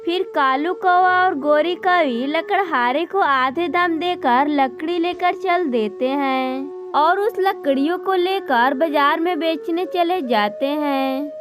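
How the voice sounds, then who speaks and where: A woman's voice: high voice, slow pace, hardly any pauses. A woman narrating a scripted children's story as the storyteller.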